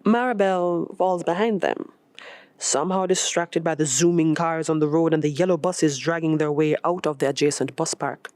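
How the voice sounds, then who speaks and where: A woman reading a short story as scripted narration, in an expressive, measured storytelling manner. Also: low-pitched, no echo. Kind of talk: scripted narration of literary fiction.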